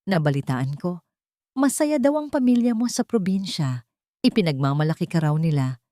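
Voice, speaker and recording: A woman voicing a menacing male doctor in an audiobook drama, soft-spoken with veiled, venomous threats. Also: on the low side, big pitch swings, moderate pace, dry recording, clean studio sound. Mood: soft menace.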